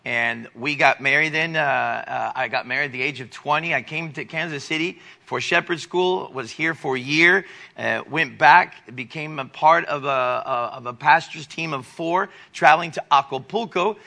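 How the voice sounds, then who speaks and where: A man in his forties, a missionary giving a church testimony over slides, halting with many fillers and restarts. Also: a little high, creaky, pushed and bright. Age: 40s.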